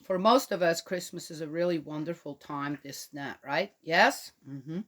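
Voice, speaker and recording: A woman from Switzerland chatting gently to young children and reading them a picture book aloud. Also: sits low, moderate pace. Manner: gentle, chatty, talks directly to young listeners. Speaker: children's storyteller.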